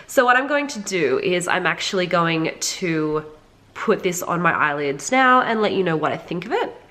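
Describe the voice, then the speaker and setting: Woman doing a chatty makeup vlog, bit low voice, stuffy nose like she's sick, says like a lot. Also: expressive, normal speed, pushed and bright. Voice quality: congested, slightly nasal. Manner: informal, frequent "like", addressing viewers directly. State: sick with a cold. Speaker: beauty vlogger reviewing makeup. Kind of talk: casual chatty makeup review to viewers.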